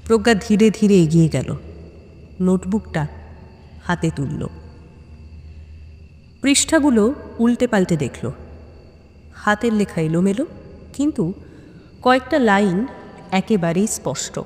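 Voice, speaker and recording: A woman's voice: mid-range voice, expressive, darkish tone. Woman narrating suspense in long, hall-like reverb. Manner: deliberate phrasing with dramatic pauses. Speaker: audio story narrator. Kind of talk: dramatic suspense story narration.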